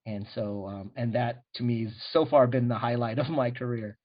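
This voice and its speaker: A man's voice: medium pitch, steady tone, normal speed. A middle-aged American man talking candidly as a guest in a casual interview, often restarting his sentences. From USA. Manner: earnest, candid, frequent restarts. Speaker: guest, animation director. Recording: dull lo-fi recording.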